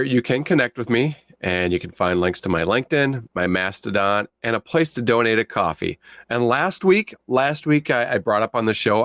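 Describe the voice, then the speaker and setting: A man's voice: medium pitch, fairly bright tone, no echo, clean studio sound. A man co-hosting a podcast, speaking casually and playfully, repeating phrases for emphasis. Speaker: podcast co-host. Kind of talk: casual sign-off plugs.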